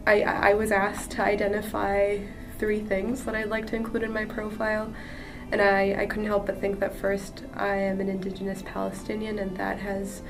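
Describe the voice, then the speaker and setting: A woman from Jordan sharing her personal story as a podcast guest, earnest and halting with frequent restarts. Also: medium pitch, creaky. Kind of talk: personal testimony. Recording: distant mic with music behind.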